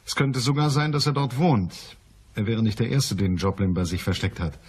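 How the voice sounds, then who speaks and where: An actor playing a dry, commanding private detective in a crime radio play, matter-of-fact and wry. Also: male voice, fairly low pitch, moderate pace, breathy edge. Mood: businesslike. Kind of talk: German crime radio play, dialogue and narration.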